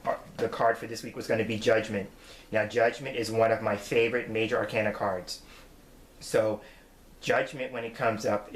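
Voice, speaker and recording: A man's voice: fairly low pitch, a little hiss or hum. A man in his forties, a tarot reader, giving his weekly forecast in an earnest, reflective way as he explains each card. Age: 40s. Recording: roomy echo.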